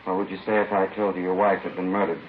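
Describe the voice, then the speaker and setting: An American actor playing a stern chief of detectives questioning pointedly in a 1950s radio police drama. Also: male voice, low-pitched, flat delivery, fast talker, close to an everyday voice. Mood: stern, probing. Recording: narrow, hissy 1950s radio recording.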